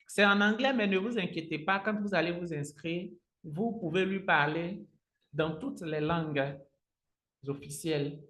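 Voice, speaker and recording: A man whose native language is Agni, teaching a live software tutorial step by step as an online trainer, often repeating his phrases. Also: high-pitched, unhurried. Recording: roomy, echoing sound.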